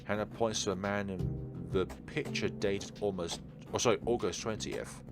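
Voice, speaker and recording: Male let's player, lowish voice, story reading mixed with thinking aloud, clear background music. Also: fairly even intonation, normal speed. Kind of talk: reading visual novel narration and dialogue aloud with casual commentary. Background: game background music.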